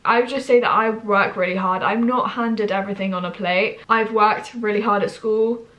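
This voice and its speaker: Croaky young woman with a cold. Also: medium pitch, steady tone, moderate pace, a bit bright, poor audio. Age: 20s. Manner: chatty and rambling. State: hoarse from a cold. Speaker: vlogger. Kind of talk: casual to-camera chat.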